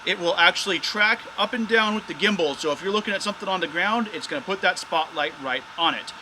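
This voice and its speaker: A man's voice: high voice, fast, noisy background. A man hosting a casual, hands-on product test of drone gear as a reviewer.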